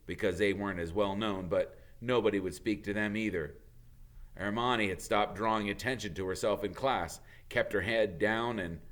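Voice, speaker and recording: A man, a teacher, reading a storybook aloud with expressive narration. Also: fairly low pitch.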